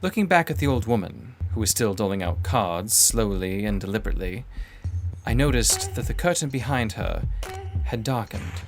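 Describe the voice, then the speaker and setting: A man reading dramatic first-person fiction in a deliberate, literary manner. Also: fairly low pitch, expressive, soft background music. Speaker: audiobook narrator.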